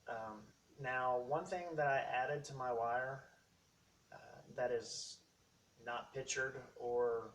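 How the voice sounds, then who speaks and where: A man with a medium-pitched voice hosts an unscripted tech walkthrough. He explains things step by step in short, halting phrases. The mic sits some distance away, and there is faint noise behind him. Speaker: host of a how-to tech video series. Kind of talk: casual unscripted product walkthrough.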